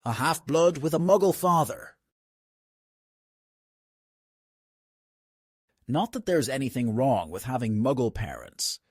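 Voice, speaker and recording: A man's voice: mid-range voice, animated, moderate pace, slightly rough, dry recording, studio quality. A man playing a proud, privileged but earnest young wizard boy in a comic dub.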